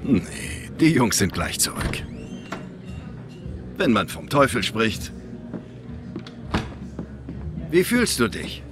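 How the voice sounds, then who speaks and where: An actor playing a gruff but teasing bar boss in a video game, in a natural style. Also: male voice, mid-range voice, very animated, slow, music in the background. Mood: matter-of-fact.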